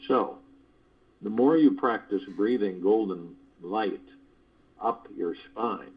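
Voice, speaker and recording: Slow, husky man leading guided meditation. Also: on the low side. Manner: measured, deliberate phrasing with pauses. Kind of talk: guided meditation, spiritual motivational talk. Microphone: phone conference call. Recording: dull lo-fi conference call audio.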